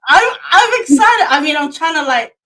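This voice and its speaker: A woman's voice: high voice, lively intonation, normal speed, a bit bright. A woman hosting a podcast over a video call, chatting casually and laughing often, calling her guest "sis". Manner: bubbly, laughs often, says "sis" and "fam". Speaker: podcast host. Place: Japan.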